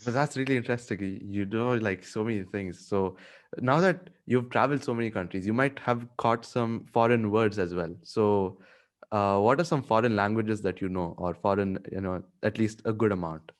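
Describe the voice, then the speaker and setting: A man's voice: lowish voice, moderate pace. A man hosting a podcast, asking casual questions with many fillers. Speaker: podcast host. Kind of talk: interview questions.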